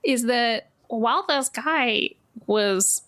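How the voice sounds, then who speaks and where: Woman, game master, conversational improvised narration, voice a bit high, informal. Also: slow, fairly bright tone.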